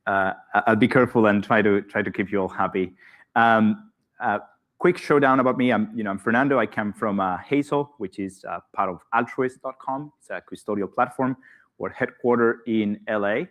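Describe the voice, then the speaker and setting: A man's voice: fairly low pitch, normal speed, a bit bright. A man from Spain with a Spanish accent, speaking live at a conference, hesitant with many fillers and restarts. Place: conference hall.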